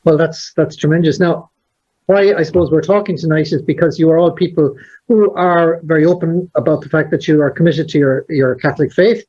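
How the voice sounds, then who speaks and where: A man hosts a conversation in a fairly high voice. He talks at an easy pace, pausing on fillers. The recording sounds dull and lo-fi. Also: fairly even intonation, mellow. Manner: measured, hesitant with fillers. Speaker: host. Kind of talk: hosted conversation.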